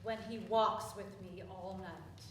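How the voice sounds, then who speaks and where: A woman's voice: mid-range voice, fairly even intonation, a little slow, slight background noise, close to an everyday voice. A woman playing an earnest, fervent devotee in a stage play, declaiming her lines. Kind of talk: live stage acting. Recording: very echoey theatre, distant mic.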